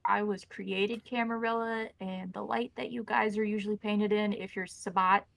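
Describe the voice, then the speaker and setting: A woman's voice: mid-range voice, fairly even intonation, moderate pace, vocal fry, no room sound, close to an everyday voice. A woman playing a captured female vampire drifter in a tabletop game, wary and frustrated, rambling as she bargains. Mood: wary, candid. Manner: rambling, mouthy, pleading.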